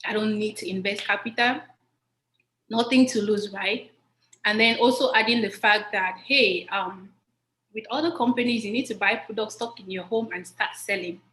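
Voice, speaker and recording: A woman's voice: medium pitch, moderate pace, a bit bright. Earnest woman from Cameroon, echoey room. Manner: earnest, repeats points for emphasis. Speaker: guest, business distributor. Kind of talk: livestream interview answers.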